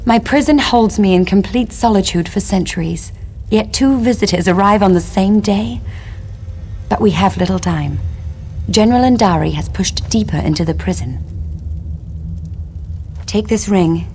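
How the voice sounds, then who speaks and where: An actress playing a long-imprisoned elven wife in a fantasy game, speaking formally and solemnly, with bitterness and longing. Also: female voice, medium pitch, mellow, natural voice. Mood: earnest. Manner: formal, archaic, solemn phrasing. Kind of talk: scripted fantasy game dialogue.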